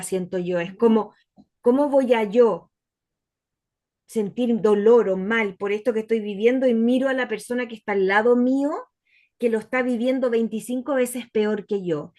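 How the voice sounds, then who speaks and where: A woman with a fairly high voice, talking as a guest in a relaxed conversation. She reflects aloud and pauses to find her words. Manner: reflective, searches for words mid-sentence. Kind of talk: casual conversation.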